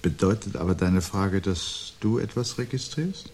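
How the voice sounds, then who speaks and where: An actor playing a brooding, dryly ironic man in a literary radio play, speaking formal, measured lines with a husky voice. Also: low voice, darkish tone, a little hiss or hum. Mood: brooding, resigned. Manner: measured, dry, articulate, formal. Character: brooding, dryly ironic man awaiting looming catastrophe at home.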